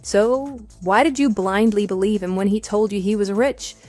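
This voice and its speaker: A woman's voice: medium pitch, fairly even intonation, normal speed, a little mellow, no room sound, faint music behind, natural voice. A woman playing a composed, matter-of-fact wife with a dismissive edge in a scripted audio drama. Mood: wry, mocking. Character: composed, wry wife divorcing her cheating husband.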